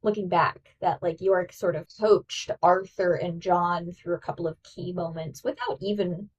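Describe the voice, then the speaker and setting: A woman's voice: fairly low pitch, moderate pace, distant mic, highs cut off. A woman co-hosting a podcast in casual, chatty conversation, often saying "like". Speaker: podcast co-host. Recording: voice a bit off-mic.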